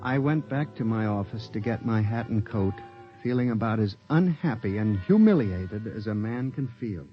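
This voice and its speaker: A man's voice: lowish voice, lively intonation, moderate pace, natural voice. An American actor playing an anxious, earnest statistician who narrates his own story in a 1950s radio drama, with intense, dramatic delivery. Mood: haunted, uneasy. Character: anxious, earnest statistician clerk, unhappy and haunted. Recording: dull 1950s radio recording, music behind.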